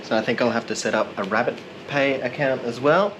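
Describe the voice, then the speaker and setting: Man, casual vlogger, rambling, self-deprecating, steady mid voice, clear background hum. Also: mid-range voice, a little fast. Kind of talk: casual vlog narration.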